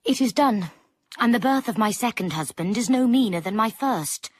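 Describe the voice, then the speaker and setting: An actress playing a proud, composed widowed gentlewoman in a period radio drama, speaking formally and firmly. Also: fairly high pitch, expressive, no room sound. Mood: defiant. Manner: formal, measured, firm.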